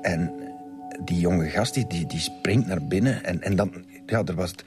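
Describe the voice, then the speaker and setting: A man playing a shaken, injured nurse in a radio drama, dazed and stammering, with a breathy Flemish-accented voice. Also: low voice, music in the background. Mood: shaken, halting. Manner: halting, stammering, repeating words. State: in pain, dazed. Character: shaken, injured male nurse giving halting testimony. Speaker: nurse, witness.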